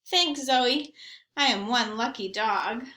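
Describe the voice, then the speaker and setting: A woman voicing an eager, naive, mischievous young dog telling his own picture-book story in an expressive, earnest way. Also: on the high side, slow pace, roomy sound. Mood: relieved. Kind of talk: first-person picture book narration.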